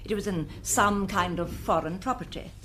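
A woman's voice: medium pitch, moderate pace, a bit bright, slight background noise, close to an everyday voice. A woman acting as a prim, disapproving, genteel Englishwoman giving precise evidence in a radio mystery. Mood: cool, disapproving. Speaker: actor in a radio drama.

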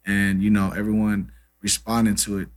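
A man's voice: on the low side, completely flat delivery, moderate pace. A man in his thirties from Brazil, a native Portuguese speaker, chatting casually as a guest musician, laid-back and full of "you know" and "man". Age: 30s. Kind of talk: casual interview chat.

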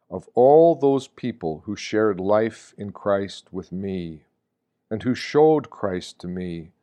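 Male reader, fairly deep pitch, slow pace, scripted religious reflection, contemplative delivery. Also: darkish tone. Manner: measured, reflective, rhetorical questioning. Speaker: reader of Lenten meditations. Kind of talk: scripted devotional reflection reading.

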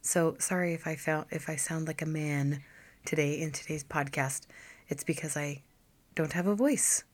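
A woman hosting a style podcast speaks in a low, deep register, her voice roughened and hoarse from allergies. She talks directly to her listeners in a chatty, encouraging way. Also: fairly even intonation, moderate pace. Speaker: podcast host and style coach. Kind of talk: solo podcast talk.

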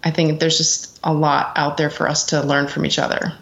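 A woman's voice: deep voice, level tone, moderate pace, a bit bright. A middle-aged American woman giving earnest, reflective answers as an interview guest in a conversational way. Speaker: interview guest, executive assistant. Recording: dull, lo-fi sound.

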